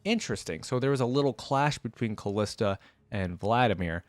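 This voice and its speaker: A man's voice: mid-range voice, expressive, a little fast, no room sound. A man hosting a reaction video, giving casual commentary and musing aloud in a conversational way.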